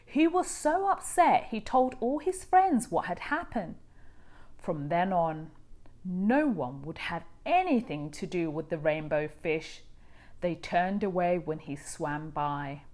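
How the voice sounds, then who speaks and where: A woman reading a children's storybook aloud in expressive scripted narration. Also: fairly low pitch, takes it slowly.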